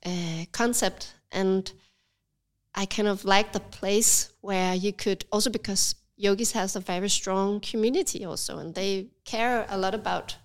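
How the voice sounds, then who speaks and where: A woman with a Danish accent speaks in a smooth, clear voice, a little stuffy from a cold. She talks thoughtfully in a relaxed interview, often hesitating with small fillers as she searches for words. Her pitch sits in an ordinary middle range. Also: unhurried. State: has a cold, slightly stuffy. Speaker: cafe and yoga studio owner, guest. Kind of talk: casual interview conversation.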